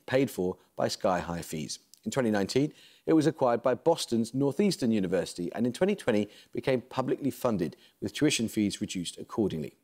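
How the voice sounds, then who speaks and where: A man, hosting a quiz show in a TV studio. He introduces the teams and reads the questions in a crisp, measured, formal way, with dry asides. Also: medium pitch, darkish tone. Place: large TV studio set, seated at a desk.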